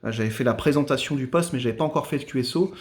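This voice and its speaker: A man's voice: mid-range voice, very fast, slight background noise. A man talks casually as a radio amateur, explaining things in a hesitant way. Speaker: amateur radio operator and video maker. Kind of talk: casual ham radio conversation.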